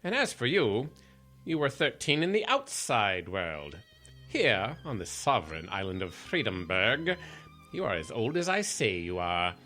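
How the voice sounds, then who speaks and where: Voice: mid-range voice, very animated, a little slow, bright, forceful tone, soft background music. A performer playing a pompous, doting, eccentric self-styled king in a comic radio drama, with theatrical, imperious delivery. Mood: indignant, imperious. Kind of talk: radio comedy audio drama acting.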